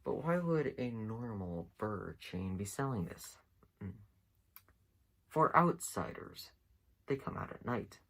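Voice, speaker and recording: A man giving casual, unscripted commentary as a video host, rambling along with halting pauses. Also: fairly low pitch, very animated, fairly bright tone. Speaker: host of an internet mystery series.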